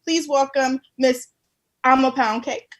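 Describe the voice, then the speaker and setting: A woman's voice: sits high, fairly even intonation, measured pace, a little hiss or hum. A woman co-hosting a casual live talk stream over a video call, bubbly and laughing often. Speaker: talk show co-host. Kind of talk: casual livestream chat.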